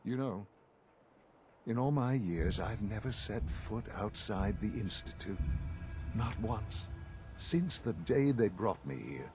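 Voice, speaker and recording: A man playing an elderly scientist leader in a video game, paternal yet detached, speaking in measured, formal, halting phrases. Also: fairly low pitch, animated. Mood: grave, reflective. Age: older. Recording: music behind the voice.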